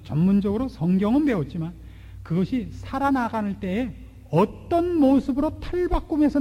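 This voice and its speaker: A man in his forties preaching a sermon, asking rhetorical questions and swinging between loud and hushed delivery. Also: high-pitched. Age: 40s. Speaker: pastor. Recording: long hall reverb.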